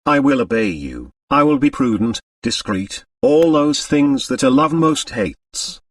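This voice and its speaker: A man voicing a flattering, suave lover's letter in an audiobook, florid and romantic. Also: mid-range voice, a little slow, vocal fry, dry recording, far from the mic, exaggerated voice. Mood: ardent, flattering. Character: ardent, flattering secret lover, a suave male scoundrel.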